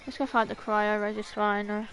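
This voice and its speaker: A woman's voice: mid-range voice, little pitch movement, moderate pace, fairly bright tone, low-quality recording. A woman livestreamer chatting casually to herself in short phrases while playing a video game. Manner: muttering to self in short phrases. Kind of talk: casual gameplay chat, thinking aloud. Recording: music playing behind the voice.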